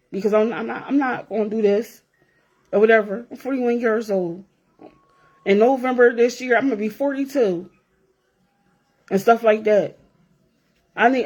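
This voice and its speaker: Woman, 40s, high-pitched, blunt, profane, repetitive, casual vlog rant. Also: darkish tone. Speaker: vlogger.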